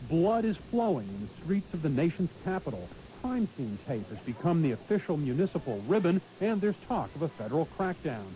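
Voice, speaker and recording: A man's voice: fairly high pitch, very animated, a little fast, hardly any pauses, mellow, rough recording. An American man delivering scripted broadcast commentary on a hissy late-eighties television tape. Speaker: news commentator.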